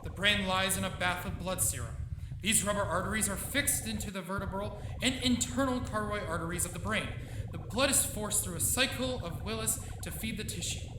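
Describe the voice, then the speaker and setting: A man's voice: high-pitched, noisy background, lightly acted. An actor playing a proud, obsessive middle-aged scientist and father in a theatrical, declamatory old-time radio style. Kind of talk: live old-time radio drama acting. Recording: roomy live stage, loud music behind.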